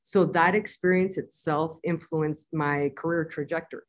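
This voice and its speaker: A woman from the US-Mexico border answers interview questions in a low, earnest voice. She is bilingual and talks in a measured way, pausing on small fillers as she explains her work. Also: fairly even intonation. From US-Mexico border, Nogales. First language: bilingual Spanish and English. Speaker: guest, community-engaged scientist.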